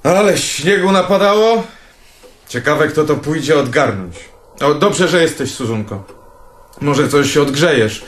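Grumbling haughty writer, middle-aged, radio play, echoey room, clattering dishes. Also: male voice, fairly high pitch, lively intonation, measured pace, slight background noise. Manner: haughty, complaining. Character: grumbling, proud, eccentric writer nearing forty.

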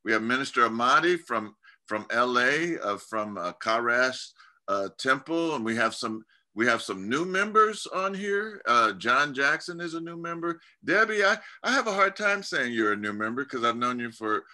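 A man's voice: medium pitch, big pitch swings, moderate pace, pushed and bright. A middle-aged man from California hosting a community video call, chatting casually with many restarts and fillers, speaking from a home study over a webcam. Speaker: host of church community video-call talk. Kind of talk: casual interview chat. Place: home study lined with bookshelves.

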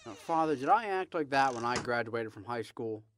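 An actor playing an eager, restless young small-town man in a radio drama, earnest and halting. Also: medium pitch, lively intonation, a bit bright, a little hiss or hum, natural voice. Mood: animated, casual. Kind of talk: old-time radio drama acting.

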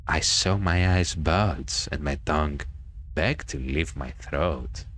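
A man's voice: a bass voice, slow pace, a bit bright, exaggerated voice. A man reading the part of a vain, bitter ghost of a hanged girl in a horror visual novel, with dramatic storytelling. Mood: brooding.

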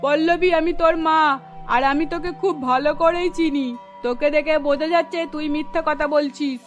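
Woman as a high-voiced scolding cartoon mother. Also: fairly even intonation, moderate pace, faint music behind, natural voice. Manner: admonishing, nagging. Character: strict, scolding, worried middle-aged mother. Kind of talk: Bengali cartoon voice acting.

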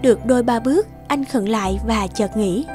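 A woman narrating a scripted children's fairy tale with expressive storytelling. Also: fairly high pitch, moderate pace, faint music behind.

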